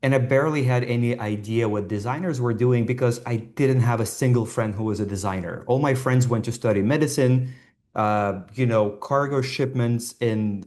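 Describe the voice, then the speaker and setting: A man's voice: medium pitch, darkish tone. A man, a designer telling his own story informally and candidly in an online talk. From Estonia. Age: 40s. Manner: candid, self-deprecating, swears casually.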